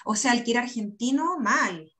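A woman's voice: on the high side, lively intonation, moderate pace, fairly bright tone. A woman with a Chilean accent speaking candidly and conversationally as an interview guest on a video call. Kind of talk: casual conversational interview talk. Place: indoor room on a video call. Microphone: computer video-call microphone.

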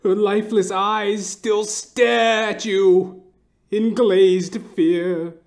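A man narrating a horror audio play dramatically, intense and theatrical, pausing between short phrases. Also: high voice, steady tone.

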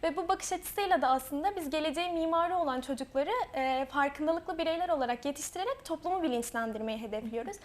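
Young woman, student author, interview guest, earnest, high-pitched, rapid. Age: young adult. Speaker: guest, student author and association vice-president. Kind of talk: interview answers.

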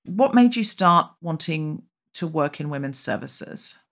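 A woman from Australia hosting a podcast over a video call, brisk, wry and teasing. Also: low voice, expressive, a bit bright. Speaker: podcast host. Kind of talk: casual chat and announcements.

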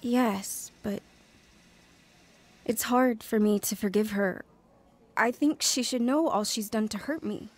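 A woman's voice: fairly high pitch, slow, pauses often. An actress playing an earnest, wounded young woman telling her life story in a dramatized testimony. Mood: hesitant, hurt. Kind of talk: dramatized first-person testimony narration and dialogue.

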